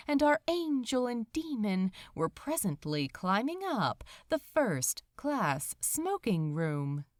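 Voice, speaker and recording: A woman reading a story aloud as narrator, with deliberate, dramatic phrasing. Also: mid-range voice, no room sound, clean studio sound. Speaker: audiobook-style fan fiction narrator. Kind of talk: expressive scripted story narration.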